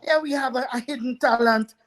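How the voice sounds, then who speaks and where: A woman's voice: high voice, a little fast. A woman hosting a live talk show, chatty, addressing viewers and repeating words as she goes. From Trinidad.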